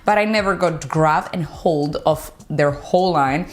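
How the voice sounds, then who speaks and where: A woman's voice: sits low, a little slow. A young woman with a Dominican accent, a native Spanish speaker, chatting upbeat in a casual hair product review vlog. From Dominican Republic. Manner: chatty and upbeat, laughs often, says "like" a lot. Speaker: hair care vlogger. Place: home bathroom and shower.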